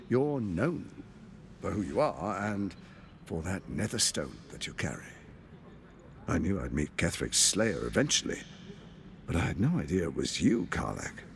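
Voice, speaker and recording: An actor playing a smooth, scheming villain lord in a video game cutscene, theatrical and mock-friendly. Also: male voice, on the low side, slight background noise. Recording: loud background music.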